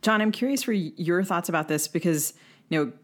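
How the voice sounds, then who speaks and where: A woman's voice: on the low side, lively intonation, a little fast. A woman co-hosting a literary podcast, putting questions to a guest in a conversational way. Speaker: podcast co-host, interviewer.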